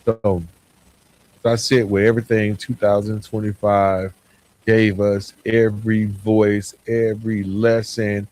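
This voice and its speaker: A man's voice: on the low side, flat delivery, measured pace, darkish tone, a little hiss or hum, poor audio. A man hosting a podcast solo, speaking earnestly and reflectively in a motivational way, often stressing short parallel phrases. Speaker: podcast host. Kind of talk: reflective solo podcast monologue, partly scripted.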